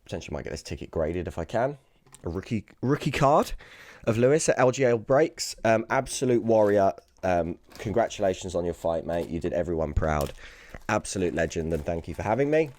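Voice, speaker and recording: A British man with a hoarse, raspy voice that sounds worn out, hosting a casual sports card unboxing video. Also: sits low, lively intonation, brisk, a little mellow. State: hoarse, lost voice from screaming the night before.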